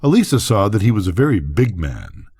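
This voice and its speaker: An older man reading a bedtime story aloud as narrator, with expressive, deliberate phrasing. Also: fairly low pitch, breathy edge.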